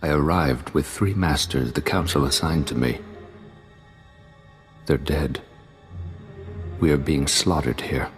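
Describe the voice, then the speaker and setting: A man's voice: extremely low pitch, slow pace, long pauses. A man playing a remorseful, haunted Jedi in a video game, somber and hesitant. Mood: haunted, sorrowful. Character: remorseful, haunted, very deep-voiced male Jedi. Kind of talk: dramatic scripted game acting. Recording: long hall-like reverb, music behind.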